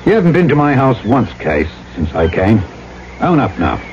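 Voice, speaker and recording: An actor playing an uneasy, stubborn island trader who narrates and questions dramatically in an old-time radio drama. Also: male voice, medium pitch, very animated, normal speed, a little mellow, a little hiss or hum, close to an everyday voice. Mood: agitated, demanding. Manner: dramatic first-person storytelling and pointed questioning. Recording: muffled old radio broadcast with music.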